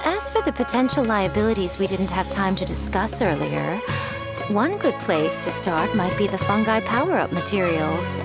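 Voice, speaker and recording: A woman's voice: mid-range voice, close to an everyday voice. A woman playing a poised, teasing, elegant mentor in a video game, coy and knowing. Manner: smooth-worded, coy, condescendingly affectionate. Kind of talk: video game character acting. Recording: loud music behind the voice.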